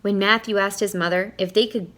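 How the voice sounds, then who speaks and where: A woman's voice: medium pitch, steady tone, a little fast, fairly bright tone. A woman reading a children's picture book aloud expressively, stopping to put questions to young listeners.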